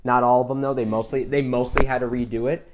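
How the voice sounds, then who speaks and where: A male podcast co-host with a mid-pitched voice chats casually about movies. He sounds enthusiastic and opinionated, and he leans on repetition to stress what he means. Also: level tone, fast, background noise.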